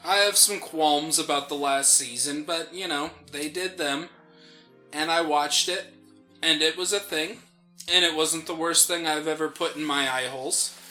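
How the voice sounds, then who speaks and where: American guy gaming co-host, really bright forceful voice on the higher side, animated storyteller, casual banter. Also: a little slow, faint music behind. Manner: animated storyteller who acts out quoted reactions. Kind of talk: casual banter while playing a video game.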